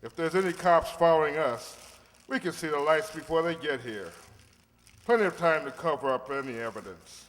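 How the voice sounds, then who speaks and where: A man's voice: fairly high pitch, normal speed, fairly bright tone, natural voice. A man playing a cocky drug dealer with a persuasive, chummy manner in an old-time radio crime drama. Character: smooth-talking male drug dealer, placating and cocky. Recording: echoey hall.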